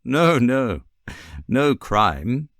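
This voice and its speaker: Guy doing a clever victorian detective, british, precise n amused, swoopy pitch, audiobook, dry recording. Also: big pitch swings, drawn-out words, a bit bright, dry recording, full character voice. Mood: amused, musing. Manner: precise, expository, theatrical. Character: brilliant, self-assured Victorian gentleman detective, amused and precise. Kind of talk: audiobook character reading.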